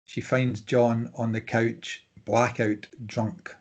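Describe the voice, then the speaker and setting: Husky man reading stage directions. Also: mid-range voice, flat delivery, slow pace, darkish tone. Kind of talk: scripted narration at a table read.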